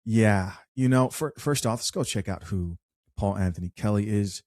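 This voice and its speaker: A man hosting an unscripted commentary show, chatty and animated as he reads viewer comments aloud. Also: on the low side, moderate pace, no echo, studio quality. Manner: chatty, animated, with frequent fillers and restarts. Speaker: host.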